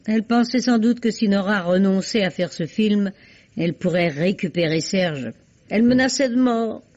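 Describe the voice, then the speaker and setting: A woman's voice: medium pitch, moderate pace, darkish tone, highs cut off, close to an everyday voice. An actress playing an elegant, witty woman amateur detective in an old French radio drama, dry and ironic in manner.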